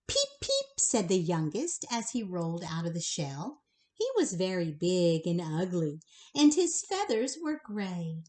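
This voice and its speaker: A woman reading a children's bedtime story aloud in an expressive way, speaking directly to young listeners. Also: fairly low pitch. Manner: slow, animated, pausing to address the child listeners directly.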